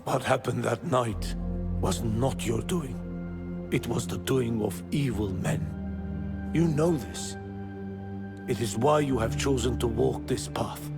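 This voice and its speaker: An actor playing a devout, fond old rebel merchant with a gravelly voice in a video game. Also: male voice, mid-range voice, takes it slowly, pauses often, over loud music. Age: older. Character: devout, nagging old rebel merchant, warm-hearted and grieving. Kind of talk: video game cutscene acting.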